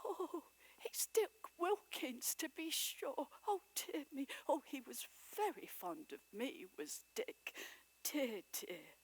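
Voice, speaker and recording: An old miser, gruff yet stirred by fond memories, as a woman reading a storybook puts him on. His voice slips into breathy whispers and rises high when he is moved. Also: huge pitch swings, slow pace, exaggerated voice.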